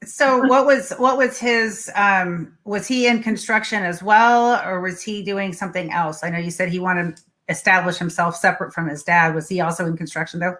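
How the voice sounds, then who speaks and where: A woman's voice: fairly low pitch, fairly even intonation, moderate pace, fairly bright tone. Casual American woman hosting a chat. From United States. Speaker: talk show host.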